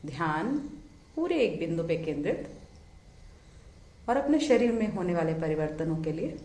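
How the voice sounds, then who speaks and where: Lady doing guided meditation, pitch rises and falls a lot, long pauses, echoey sound. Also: big pitch swings, warm tone. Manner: measured, soothing, with drawn-out pauses between phrases. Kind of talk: guided meditation, slow spoken instruction.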